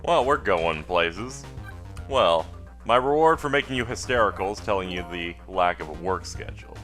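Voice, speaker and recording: A man's voice: sits low, animated, normal speed, a bit bright, soft background music. A man hosting a let's play with casual, offhand game commentary.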